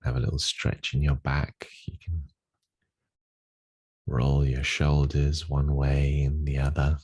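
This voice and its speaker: Very deep-voiced man guiding meditation, unhurried. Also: completely flat delivery, breathy edge. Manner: gentle, unhurried instruction with long pauses. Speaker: meditation guide. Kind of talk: guided meditation.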